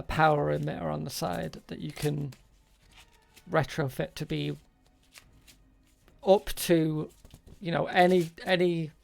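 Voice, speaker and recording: A man narrating an improvised tabletop game as game master, halting and pausing between short phrases. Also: a little high, creaky.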